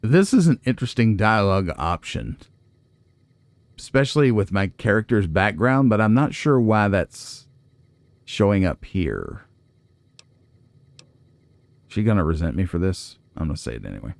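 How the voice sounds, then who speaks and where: A man's voice: lowish voice, very animated, moderate pace, gravelly, pauses often, no echo. A man hosting a casual video game playthrough, musing aloud and making wry asides to viewers. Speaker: let's play host. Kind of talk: casual game commentary, reading and reacting aloud.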